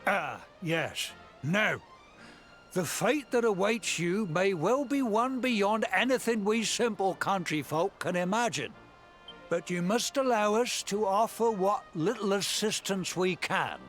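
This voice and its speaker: A man's voice: high-pitched, animated, measured pace, fairly bright tone, soft background music. A man playing a chatty, jovial, slightly scheming country village elder in a video game, with a folksy, theatrical manner. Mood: jovial, grand. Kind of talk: scripted video game voice acting.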